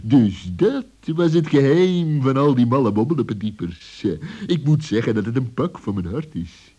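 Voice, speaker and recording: A man's voice: medium pitch, lively intonation, normal speed, warm tone, husky, muffled recording. A man playing a sly fox in a children's radio play, wily and condescending in a falsely kindly way, on an old recording. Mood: sly, soothing.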